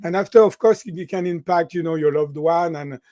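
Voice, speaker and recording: A man in his sixties from France with a French accent, a guest in an interview, talking in an animated way and often ending sentences with "right?". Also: a little high, moderate pace, no echo, clean studio sound. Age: 60s. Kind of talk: interview conversation.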